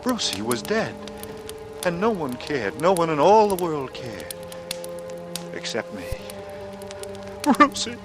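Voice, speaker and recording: A man's voice: a little high, wildly swooping pitch, takes it slowly, long pauses, exaggerated voice. An actor playing a haunted, intense man in a 1940s radio thriller, brooding and dramatic in manner. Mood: grieving. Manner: brooding, dramatic, swinging into anguished outbursts. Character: haunted, intense male lover and war veteran. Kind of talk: 1940s radio drama acting with first-person narration. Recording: vintage radio recording with music.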